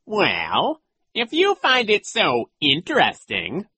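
A man's voice: high-pitched, wildly swooping pitch, pushed and bright, husky, dry recording, voice pushed up, heavily acted. A man voicing a menacing, impatient storybook villain posing as a coach, scratchy, condescending and commanding. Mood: bossy, menacing. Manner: condescending, commanding, drawn-out words.

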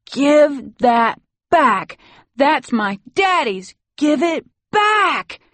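Small boy, tearful and furious, high drawn-out cries, performed by a female audiobook narrator. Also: sing-song, fairly bright tone, no echo, full character voice. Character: upset small boy screaming and sobbing in tantrum.

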